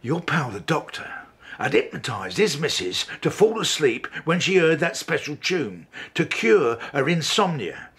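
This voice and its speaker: An actor playing a grumpy, conceited, bullying older chief inspector in a radio drama, blunt and sarcastic, with a gravelly voice. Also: male voice, a little high, fairly bright tone, heavily acted.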